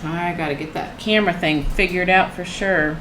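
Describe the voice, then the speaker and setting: Low-voiced, slightly croaky older woman. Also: steady tone, moderate pace. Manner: soft-spoken, thinks aloud with trailing restarts. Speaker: painting channel host. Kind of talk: casual talk while painting, faith reflection. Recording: echoey room with air-conditioner hum.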